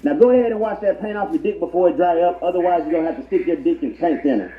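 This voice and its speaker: High-pitched man, echoey crude mock tutorial. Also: animated, fast talker. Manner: profane, slangy, instructional. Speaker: prank tutorial narrator. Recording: echoey, muffled, noisy intercom playback. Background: public transit ambience.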